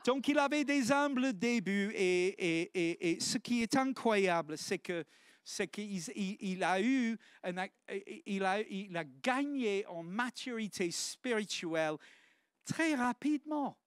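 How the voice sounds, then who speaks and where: A man's voice: high-pitched, expressive, slow pace, smooth, no room sound, studio quality. A man, preaching a sermon in church with earnest, exclamatory restarts. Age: 50s.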